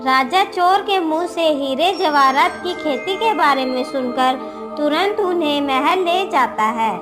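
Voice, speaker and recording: A woman narrates a scripted moral tale as a storyteller. Her voice is high-pitched. Music plays clearly behind her narration. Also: a little slow. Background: background music.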